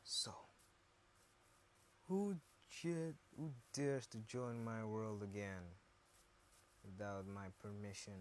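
A man with a deep voice giving casual gameplay commentary, repeating words as he mutters to himself. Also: slow pace, pauses often, a little hiss or hum. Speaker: gaming video host.

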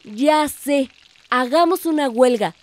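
Joven mexicana decidida, voz aguda. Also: female voice, high voice, slow pace. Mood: eager, defiant. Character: bold, idealistic young village daughter. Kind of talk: radio drama acting.

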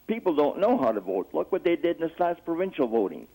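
A man's voice: on the high side, very animated, fast, gravelly, mellow. A man calling in to a live show, blunt and complaining. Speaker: caller. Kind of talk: phone-in call. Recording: phone line.

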